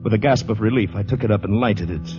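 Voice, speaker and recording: An actor playing an anxious, shaken man who tells a suspenseful story haltingly and dramatically in a vintage American radio drama. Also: fairly low pitch, natural voice. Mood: hushed dread. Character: haunted man confessing a nightmarish night, anxious, shaken. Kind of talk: 1940s-50s American radio drama narration and acting. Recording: muffled old radio broadcast recording with music.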